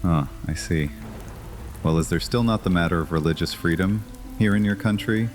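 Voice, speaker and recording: Very deep-voiced, wry male vampire leader by an actor. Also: moderate pace, faint music behind, natural voice. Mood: firm, composed. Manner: dry, sardonic banter. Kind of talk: dramatic audio fiction with natural banter.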